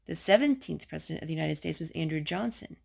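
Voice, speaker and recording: Husky, creaky-voiced woman reading a storybook. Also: low voice, expressive, fast, darkish tone. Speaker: storytime host reading aloud to a child. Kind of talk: picture-book read-aloud.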